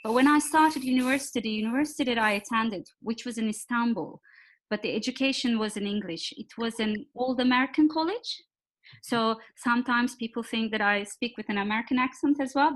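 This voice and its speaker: Woman, fairly high voice. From Turkey. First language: Turkish. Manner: thoughtful, frequent filler pauses. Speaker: guest, executive coach. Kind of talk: podcast interview.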